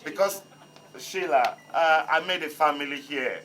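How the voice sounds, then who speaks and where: A man's voice: fairly high pitch, measured pace, sharp tone. A man who is not an English speaker, giving a hesitant, apologetic speech as curator at a museum exhibition opening. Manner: hesitant, apologetic, with many ums. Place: museum hall.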